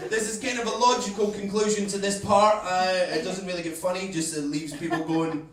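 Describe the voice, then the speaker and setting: A young Scottish man doing stand-up comedy on a club stage, with an energetic delivery that shouts punchlines. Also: sits high, brisk. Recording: echoey club from far off, loud crowd.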